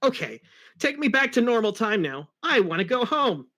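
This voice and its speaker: A man's voice: very high-pitched, sing-song, normal speed, pushed and bright, pitched above the performer's own voice, exaggerated voice. A man voicing a cool, self-assured young cartoon hedgehog hero in a dramatic reading. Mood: cocky.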